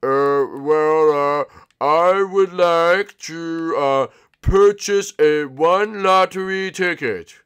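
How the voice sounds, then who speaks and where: Guy doing a little boy pretending to be a big manly man, pompous n halting, bright cartoony voice. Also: a little high, smooth, pitched above the performer's own voice. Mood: pompous, nervous. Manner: halting, self-important, formal phrasing.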